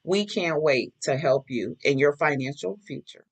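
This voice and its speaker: A woman's voice: low-pitched, normal speed, slightly rough. A woman business owner delivering a scripted promotional message for her financial services.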